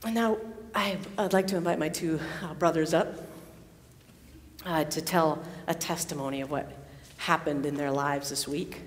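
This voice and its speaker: A woman's voice: low-pitched, slight background noise. Woman speaker in a reverberant hall. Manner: warm storytelling with pauses and fillers. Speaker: campus pastor. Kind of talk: worship service address. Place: large worship hall. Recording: long reverb in a large hall.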